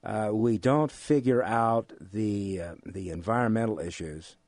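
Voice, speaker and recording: Older American man, lowish voice, dry sound. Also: a little mellow, dry recording. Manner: halting, emphatic repetition of phrases. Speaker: economics instructor giving an interview. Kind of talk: interview answers, explanatory.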